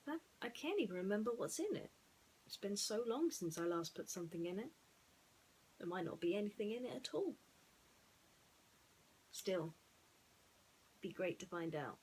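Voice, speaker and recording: A woman storyteller talking in a musing, unhurried way, with pauses, as in bedtime reading. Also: medium pitch, expressive, a little mellow, a little hiss or hum, rough recording.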